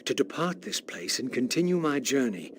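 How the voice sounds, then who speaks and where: An actor playing a bitter, brooding undead wraith, narrating a video game in a grave, formal, theatrical manner. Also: male voice, medium pitch, big pitch swings, moderate pace, slight background noise, close to an everyday voice. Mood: brooding, resolute. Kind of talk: scripted dramatic game narration.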